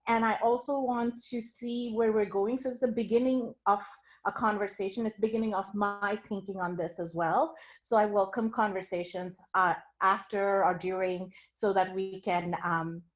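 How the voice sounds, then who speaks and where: A woman's voice: mid-range voice, fairly even intonation, moderate pace. A woman from India giving an academic keynote talk over a video call, speaking in an earnest, reflective way. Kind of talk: academic talk sharing her screen.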